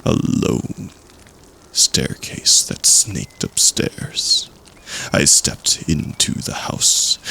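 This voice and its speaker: Deep, very slow gravelly male storyteller. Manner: hushed, suspenseful, with long dramatic pauses. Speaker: storyteller in an audio roleplay. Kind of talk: dramatic campfire horror storytelling. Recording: faint background noise.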